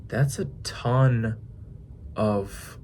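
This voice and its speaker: A man's voice: lowish voice, a little mellow, slight background noise. Young man musing aloud in a vlog. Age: 20s. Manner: musing, thinks aloud with pauses and restarts. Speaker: fitness vlogger. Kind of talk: reflective casual vlog monologue.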